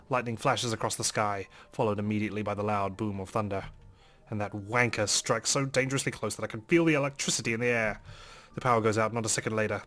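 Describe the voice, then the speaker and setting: A man's voice: on the low side, fairly bright tone. A man reading a visual novel aloud as a let's play host, in a dramatic first-person narration style. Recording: music under the voice.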